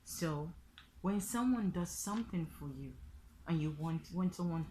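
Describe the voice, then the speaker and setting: Nigerian lady w a low voice doing a casual lesson, repeats stuff step by step, bit of background noise. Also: expressive, unhurried, darkish tone. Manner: explains step by step, repeating phrases with examples. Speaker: online language teacher and vlogger. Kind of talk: informal spoken tutorial.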